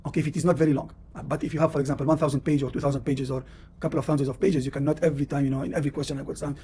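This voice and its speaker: A man's voice: on the high side, flat delivery, racing, mellow, slight background noise. A man with a Tunisian accent, a professor giving a classroom lecture and explaining at length with many "uh"s and "you know"s. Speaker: university professor.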